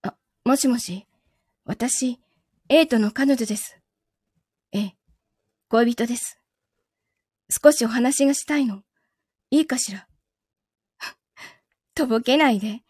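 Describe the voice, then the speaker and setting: A woman playing a possessive, coolly menacing wronged girlfriend in an audio drama, questioning calmly with soft taunts. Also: on the high side, takes it slowly, long pauses, slightly rough, no room sound, clean studio sound. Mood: sweetly menacing. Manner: calm interrogating, softly taunting, sometimes whispering. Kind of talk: Japanese audio drama acting.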